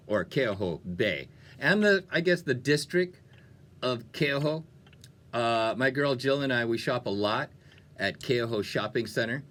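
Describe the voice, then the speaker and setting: Male radio host, medium pitch with a bright, punchy edge; chatty, playful DJ delivery that wanders into asides. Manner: playful, chatty, self-interrupting. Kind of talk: upbeat music-radio DJ patter.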